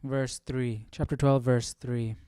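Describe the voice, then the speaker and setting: A man with a smooth, clear voice and a very dry sound leads a casual live study session. He takes his time, reading passages aloud and puzzling over them with questions to himself. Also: medium pitch, flat delivery, slow, dark tone, no echo. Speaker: host of a live Bible study.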